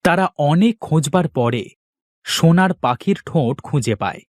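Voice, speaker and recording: A man's voice: fairly high pitch, expressive, moderate pace, no echo, clean studio sound. A man narrating a scripted cartoon fairy tale as a storyteller.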